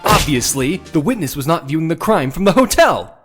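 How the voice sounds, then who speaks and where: A man's voice: a little high, sing-song, fairly quick. A man playing an earnest, sardonic young defense lawyer in a video game courtroom drama, with emphatic delivery. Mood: accusing, confident. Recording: music behind the voice.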